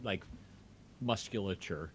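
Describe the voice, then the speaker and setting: A man's voice: sits low, takes it slowly, faint music behind. A man in casual conversation, rambling and wandering off on digressions. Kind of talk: casual chat.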